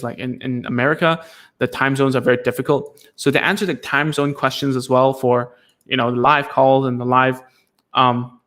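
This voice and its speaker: Male host of a live Q&A stream, mid-pitched and loose, talks in rambling restarts. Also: fairly bright tone. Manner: rambling, many fillers and restarts. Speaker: speech therapist hosting a live stream.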